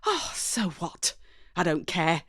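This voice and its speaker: British woman narrating a story, super expressive, wry and exasperated, takes her time. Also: lowish voice, slow, dry recording, clean studio sound. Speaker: story reader. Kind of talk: dramatic first-person scripted narration.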